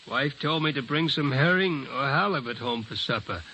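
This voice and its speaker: A mild, everyday married man in a vintage radio drama, with a bright, forward voice. He talks plainly with slight hesitation, over faint recording noise. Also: medium pitch, animated, moderate pace, low-quality recording. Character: mild, ordinary husband running an errand home. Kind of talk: 1940s radio acting.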